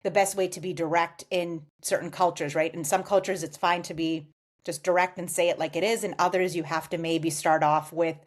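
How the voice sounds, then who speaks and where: An American woman in her forties, giving measured, explanatory answers as a guest on a podcast interview. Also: lowish voice, fairly even intonation. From United States. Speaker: guest, customer success executive.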